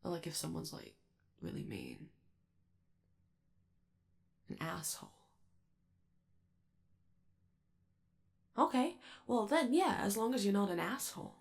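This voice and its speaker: A woman's voice: mid-range voice, wildly swooping pitch, moderate pace, gravelly, long pauses. A woman playing a friendly, nervous robot alien crewmate in a comforting ASMR roleplay, rambling and hesitant as they reassure the listener. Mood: reassuring, earnest.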